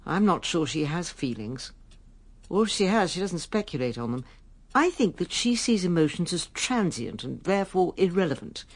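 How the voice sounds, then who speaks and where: An actress playing a calm, perceptive older sister in a radio drama, measured, knowing and gently protective. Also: female voice, lowish voice, husky, exaggerated voice.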